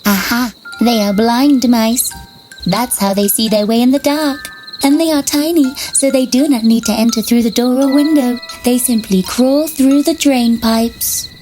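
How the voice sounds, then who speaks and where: A woman as a high-voiced, cunning farmer's wife. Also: steady tone, measured pace, a little mellow, soft background music, lightly acted. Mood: triumphant. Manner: self-assured. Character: confident, menacing farmer's wife detective. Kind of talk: children's cartoon voice acting.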